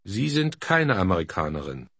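A man slowly modeling phrases for learners to repeat in a course recording. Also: low voice, big pitch swings, a bit bright, slightly rough, no echo. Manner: slow, carefully articulated. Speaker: native model speaker. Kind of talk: slow drill repetition. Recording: dry studio.

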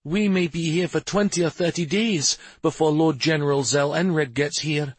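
A man voicing a prince's exasperated, sharp-tongued wife in an audiobook, scolding bluntly. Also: a little high, animated, measured pace, slightly rough, dry recording, voice pushed up.

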